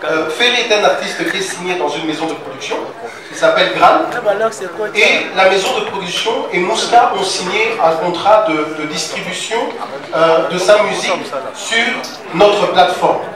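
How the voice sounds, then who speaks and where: A man speaking as a music platform representative at a press conference, halting with many fillers and repeated words. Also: sits high. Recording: echoey hall, distant mic, crowd noise.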